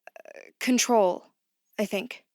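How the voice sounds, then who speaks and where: An actress playing a bewildered, reluctant new office worker in an audio drama, hesitant and a little indignant. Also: female voice, medium pitch, slow, a little mellow, no room sound, studio quality, lightly acted. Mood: flustered. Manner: hesitant, flustered, wryly indignant. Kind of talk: naturalistic audio drama acting.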